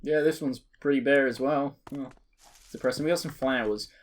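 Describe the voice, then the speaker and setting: A man in his twenties giving rambling, joking commentary over a video game, his voice cracking and jumping between high and deep. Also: steady tone, moderate pace, faint music behind. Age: 20s. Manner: rambling, joking, self-mocking. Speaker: let's play creator. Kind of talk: casual gameplay commentary.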